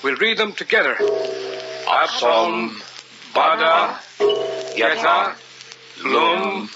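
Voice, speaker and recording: An actor playing a solemn, intense leader of an occult ritual in an old radio horror drama, giving orders with authority. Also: male voice, fairly high pitch, big pitch swings, drawn-out words, full character voice. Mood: commanding, intense. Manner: solemn, commanding. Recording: muffled old radio recording under loud music.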